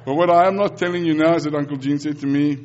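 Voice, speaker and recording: A man's voice: on the high side, steady tone, quick pace, a little mellow, lo-fi sound. Earnest South African preacher in his fifties. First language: Afrikaans. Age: 50s. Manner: earnest, repeats phrases, frequent restarts. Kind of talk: sermon and mission report.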